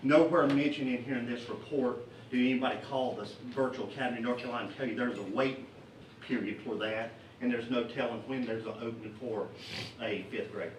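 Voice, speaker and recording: A middle-aged man, a parent, giving public comment at a school board meeting, earnest and halting with many fillers. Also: mid-range voice, fairly even intonation. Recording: echoey meeting room, distant mic, noisy.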